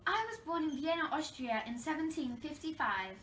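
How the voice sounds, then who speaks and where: A woman's voice: high voice, expressive, moderate pace, fairly bright tone. A woman playing a wronged young French queen in a scripted interview role play, recounting her troubles in a formal, recited way. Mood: earnest, reflective. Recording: echoey room, distant mic, faint noise.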